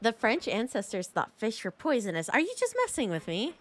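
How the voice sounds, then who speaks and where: A woman's voice: on the high side, sing-song, a little fast. A woman streaming a game with casual, chatty commentary in a playful, rambling manner.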